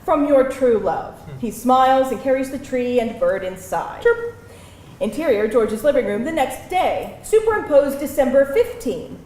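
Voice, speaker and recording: A woman reading stage directions aloud as narrator at a live table read, expressive and theatrical, in a roomy venue. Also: high voice, measured pace. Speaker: screenplay narrator. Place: live event venue with audience. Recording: roomy live venue, faint background noise.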